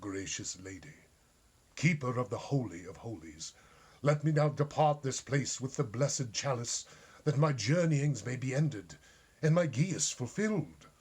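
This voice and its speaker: A man's voice: mid-range voice, animated, unhurried, darkish tone, breathy edge. A man voicing an earnest, noble young knight in a storybook reading, speaking in a formal, grandiose and solemn way. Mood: solemn, reverent. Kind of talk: storybook character dialogue.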